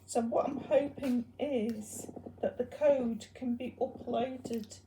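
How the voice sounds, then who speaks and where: A woman presenting a hobby project in an informal, unscripted demonstration, explaining things in a hesitant, halting way. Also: on the high side, fairly even intonation, warm tone, a little hiss or hum, rough recording.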